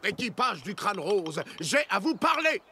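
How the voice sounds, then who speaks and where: A man voicing an excitable, theatrical pirate-world character in a children's cartoon, declaiming his words. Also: high-pitched, unhurried, noisy background. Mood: officious. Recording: music behind the voice.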